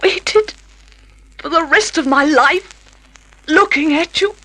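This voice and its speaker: An actress playing a bitter, scornful scheming wife in an old-time radio drama, theatrical and venomous. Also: female voice, high voice, takes it slowly, pushed and bright, exaggerated voice, rough recording.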